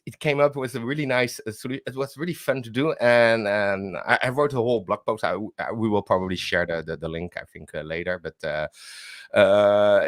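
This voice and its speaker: A man's voice: on the low side, fairly bright tone, dry recording, clean studio sound. A man with a French accent, a developer advocate talking casually as a guest on a live stream, full of fillers and restarts. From Netherlands and France. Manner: hesitant, many fillers and repeated words, laughs easily.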